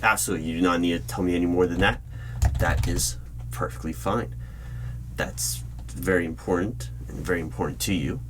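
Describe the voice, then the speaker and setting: Slow, low-voiced male interviewer, acted by a man. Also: low-quality recording. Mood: reassuring, inquiring. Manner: measured, deliberate, halting mid-phrase with long pauses. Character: polite, probing hiring manager of a secret organization. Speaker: job interviewer. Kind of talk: ASMR roleplay, spoken aloud. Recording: background noise with keyboard typing. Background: computer keyboard typing.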